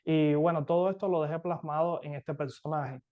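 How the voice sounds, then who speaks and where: A man from Cuba with a Cuban accent, a novelist explaining himself as an interview guest, with hesitant pauses, over dull video call audio. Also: fairly high pitch, fairly even intonation. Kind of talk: conversational interview answers.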